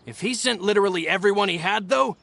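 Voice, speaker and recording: A man's voice: sits high, quick pace, gravelly, fairly bright tone, exaggerated voice. An actor voicing a loyal young male aide who is composed and polite, in a game.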